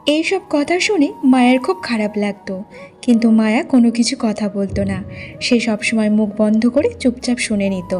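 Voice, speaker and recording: A woman's voice: fairly high pitch, moderate pace, over music. A woman narrating a scripted cartoon folk story.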